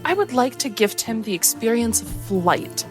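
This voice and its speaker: A woman's voice: a little high, expressive, moderate pace. Woman playing a tabletop game over music. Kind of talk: playful in-game table talk.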